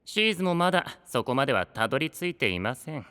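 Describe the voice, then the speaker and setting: An actor playing a sly, coolly polite young knight in an anime voice drama, speaking formally with a teasing, probing edge. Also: male voice, mid-range voice, big pitch swings, fast talker, sharp tone, smooth. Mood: cool, pressing. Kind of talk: Japanese anime voice drama acting. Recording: long reverb, music behind.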